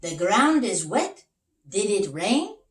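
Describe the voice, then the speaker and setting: A confident, inquisitive detective from a children's storybook, played by a woman reader. He speaks deliberately and emphatically in a lifted voice, in an echoing room. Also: medium pitch, very animated, voice pushed up. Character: calm, curious, confident male storybook detective. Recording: roomy, echoing sound.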